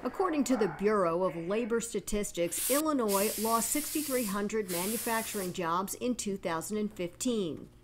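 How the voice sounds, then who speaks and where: A woman hosting a news program, narrating a report and then interviewing studio guests in an even, measured way. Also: medium pitch, background noise. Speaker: news show host.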